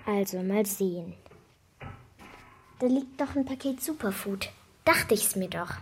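A woman's voice: medium pitch, lively intonation, unhurried, noisy background. A woman playing a curious, impatient young girl in a children's radio drama, colloquial and sassy.

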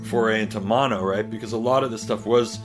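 Man, native English speaker, low pitch, halting reflective interview, plucked guitar music behind. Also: fairly even intonation. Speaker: interviewee, music archivist and field recordist.

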